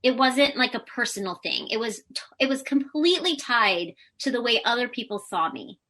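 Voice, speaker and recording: Brief: high-voiced woman interview guest, crisp bright tone, candid and self-mocking. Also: lively intonation, moderate pace. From United States. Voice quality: high-pitched voice. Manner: candid, self-deprecating, laughs often. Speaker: podcast guest, Pilates teacher. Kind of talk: casual interview chat.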